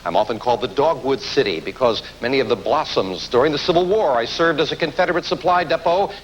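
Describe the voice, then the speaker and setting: A man's voice: mid-range voice, fast. A man with an American accent hosting a television quiz show in a showy, announcing manner. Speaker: game show host. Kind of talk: game show hosting, ad-libbed banter and quiz questions. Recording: noisy old television broadcast, studio ambience.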